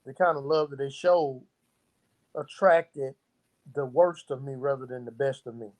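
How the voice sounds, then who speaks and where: A man's voice: medium pitch, fairly even intonation, measured pace, a little mellow. A middle-aged man speaking as an interview guest in a casual, reflective way. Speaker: interview guest, founder of a youth organisation.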